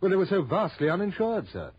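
Very British, lively-voiced gallery owner. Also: male voice, high-pitched, fast, slightly rough, full character voice. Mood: courteous, fretful. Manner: formal, polite, hesitant ums. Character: very British, fussy, courteous older art gallery owner. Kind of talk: 1960s radio drama acting. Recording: dull, noisy old radio recording.

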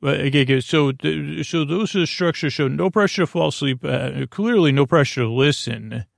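Gravelly rambling man, dry close sound. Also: a little high, no echo. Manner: halting, full of restarts and asides, silly. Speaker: sleep podcast host. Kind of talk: rambling, meandering bedtime monologue.

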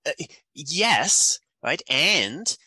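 A man's voice: fairly high pitch, very slow, slightly rough, dry recording, studio quality. A man in his forties from Austria with an Austrian accent, a climate economist talking animatedly in an interview and often tagging sentences with "right?". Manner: animated, many "right?" tags. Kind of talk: conversational interview.

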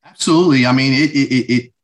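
A man's voice: medium pitch, quick pace. A man in his forties from the United States, talking reflectively as an interview guest, with many "you know" fillers. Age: 40s. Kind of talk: casual interview conversation.

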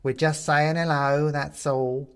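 A man's voice: on the high side, little pitch movement, fairly bright tone, smooth, voice pushed up. A man voicing a sly storybook skeleton that feigns soothing friendliness, drawing out its words and relishing them. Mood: falsely soothing. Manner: drawn-out, coaxing, relishing words.

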